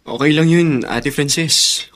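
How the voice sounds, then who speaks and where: A man's voice: a little high, slow pace, a bit bright, slight background noise. A man with a Filipino accent playing a meek, obedient young orphan in a radio drama, earnest and deferential. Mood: resigned, obedient.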